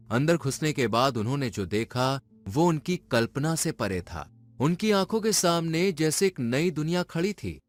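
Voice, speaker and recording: Male narrator for dramatic horror storytelling, very animated pitch, music underneath. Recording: music behind the voice.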